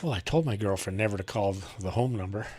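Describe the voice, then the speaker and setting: A man chatting casually on amateur radio in a halting, grumbling way. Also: on the low side, darkish tone, slight background noise.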